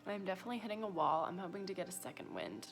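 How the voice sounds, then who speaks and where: A woman's voice: lowish voice, big pitch swings, very fast, creaky. A woman vlogger chatting casually and informally to her viewers, rambling and poking fun at herself. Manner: informal, self-mocking, rambling. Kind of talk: casual confessional vlog chat to viewers.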